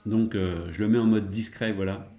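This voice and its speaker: Man, middle-aged, lowish mellow voice, colloquial radio chat, amateur operator. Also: steady tone, moderate pace. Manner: chuckling, colloquial, trailing tag words. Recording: roomy, echoing room sound.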